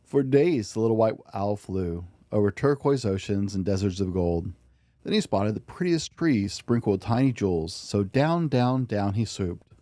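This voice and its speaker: Male storybook reader, dark soft tone, gentle expressive bedtime delivery, dry sound. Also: lowish voice, normal speed, no room sound. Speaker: podcast host and storybook reader. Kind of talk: expressive read-aloud of a children's picture book.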